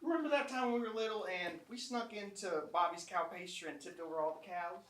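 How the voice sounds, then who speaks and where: Woman playing a bright-voiced, earnest country sweetheart. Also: fairly low pitch, a little fast, close to an everyday voice. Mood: nostalgic, fond. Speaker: actor in a staged reading of a short play. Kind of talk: live amateur stage comedy acting. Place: small college theatre stage. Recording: roomy distant theatre mic, background noise.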